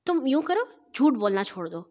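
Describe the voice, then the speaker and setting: Woman acting an exasperated, high-voiced big sister. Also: big pitch swings, mellow. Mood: earnest advising. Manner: emphatic, reproachful, instructive. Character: exasperated older sister scolding then gently advising.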